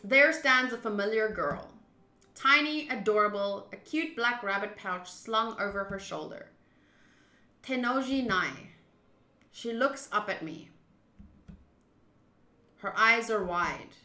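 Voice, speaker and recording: A woman streaming a visual novel playthrough, reading the text aloud and chatting with viewers in an expressive way. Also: medium pitch, slow, long pauses, roomy sound. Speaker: game streamer.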